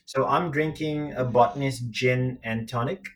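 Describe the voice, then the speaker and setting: Male podcast co-host, grew up in Sri Lanka, relaxed rambling banter over a remote line. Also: medium pitch, a little slow. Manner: laughing, easygoing, rambling. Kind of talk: casual podcast chat over a call. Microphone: remote call.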